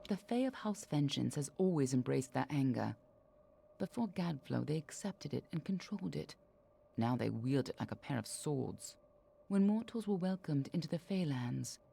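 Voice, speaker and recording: A woman's voice: rumbling low, gravelly, mellow, breathy edge, exaggerated voice. A woman playing a guarded, sardonic warrior-spy in a fantasy video game, speaking in a dry, wry, confiding way. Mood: grave, confiding. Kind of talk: video game character dialogue. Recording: music behind the voice.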